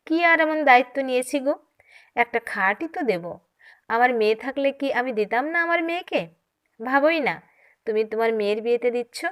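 A woman voicing a calm, generous wife who speaks in a gentle, persuasive way in an audio story. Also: sits high, moderate pace. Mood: light, reassuring.

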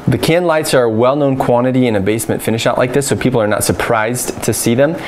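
A man in his thirties with an American accent and a medium-pitched voice talks through hands-on work like a practical electrician. His manner is informal, matter-of-fact and explanatory. Clear ambient noise of an unfinished basement sits behind the voice. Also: fast talker, darkish tone. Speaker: electrician presenting a how-to tutorial. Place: unfinished basement with exposed joists. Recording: clearly noisy room ambience.

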